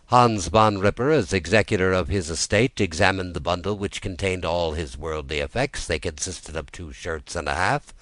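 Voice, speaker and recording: A man with a notably deep voice narrates a bedtime tale. His delivery is gentle, measured and soothing, in a literary storytelling manner. The sound is dry, with no room around the voice. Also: no room sound. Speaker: audiobook narrator.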